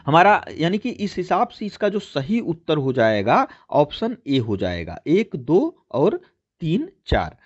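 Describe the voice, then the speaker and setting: A man's voice: a little high, wildly swooping pitch, unhurried, breathy edge, muffled recording. A man teaching an exam-prep lesson, addressing listeners as friends and repeating key points as he explains. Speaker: teacher hosting a history quiz channel.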